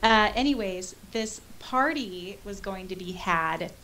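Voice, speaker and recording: Casting: American female podcast co-host, 30s, casual animated chatter, noticeable hiss on the track. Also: mid-range voice, takes it slowly. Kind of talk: casual chat, reading out and reacting to listener comments. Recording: clear background noise with hiss.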